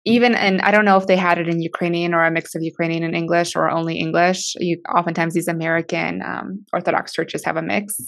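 A woman's voice: lowish voice, flat delivery, fast talker, creaky, hardly any pauses. A woman whose first language is Russian, a podcast guest talking casually and earnestly about church. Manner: earnest, explanatory, with soft laughs. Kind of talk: casual conversation.

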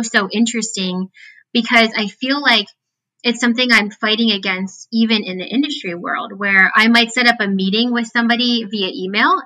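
Animated woman with a bright, squeaky voice. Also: low-quality recording. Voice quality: squeaky, nasal. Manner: animated, talks with many fillers and acted-out quotes. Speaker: guest, fitness scientist. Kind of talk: casual interview chat.

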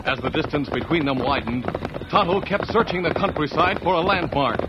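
A man's voice: fairly high pitch, brisk, noisy background. Dramatic male old-time radio narrator. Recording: muffled vintage radio recording with music. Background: music.

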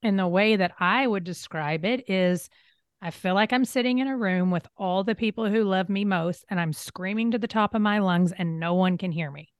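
A woman's voice: medium pitch, moderate pace, clear, resonant tone, dry recording, clean studio sound. A woman hosting a conversational interview, talking in an open, affirming way. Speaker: host.

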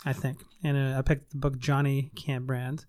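Smooth-voiced man hosting a podcast. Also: mid-range voice, completely flat delivery, moderate pace, darkish tone, no echo, studio quality. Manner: friendly storytelling with fillers. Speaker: podcast host. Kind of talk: casual interview. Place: studio in San Antonio.

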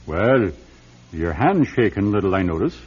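Older bishop, lively, muffled radio sound. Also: male voice, deep voice, normal speed, husky, heavily acted. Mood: concerned. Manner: conversational, gently persistent, hesitant. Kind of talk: old-time radio drama acting. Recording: dull, muffled old radio recording.

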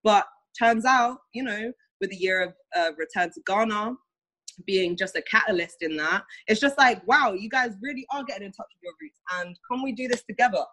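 A woman's voice: mid-range voice, lively intonation, moderate pace, a bit bright, rough recording. A young British woman talking in a casual conversation, chatty and full of fillers. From United Kingdom.

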